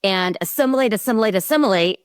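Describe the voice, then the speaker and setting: A woman from the American Midwest, co-hosting and telling a story in an animated way. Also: medium pitch, fast talker, clean tone, dry recording, clean studio sound. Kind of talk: conversational interview.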